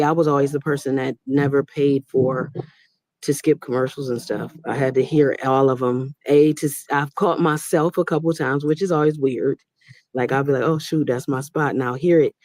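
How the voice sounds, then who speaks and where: Warm-voiced woman giving encouraging advice. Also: low-pitched, no echo, poor audio. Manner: encouraging, spiritual talk, restarts and repeats words. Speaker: guest, Reiki master and voice actor. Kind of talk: casual conversation on a live stream.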